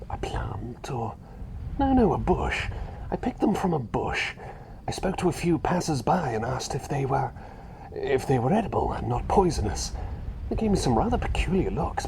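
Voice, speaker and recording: A man voicing a fussy, chatty little bird who is really a doctor, rambling and repeating himself politely in a hushed, breathy ASMR roleplay. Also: medium pitch, noisy background. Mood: pleased, musing. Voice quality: breathy, half-whispered.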